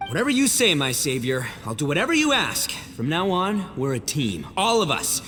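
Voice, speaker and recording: A boastful, good-natured young gang boss swaggers in a fairly high voice that swoops all over. It is theatrical game dialogue with a long reverb. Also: male voice, very animated, moderate pace, sharp tone, faint music behind. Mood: magnanimous. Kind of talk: video game cutscene acting.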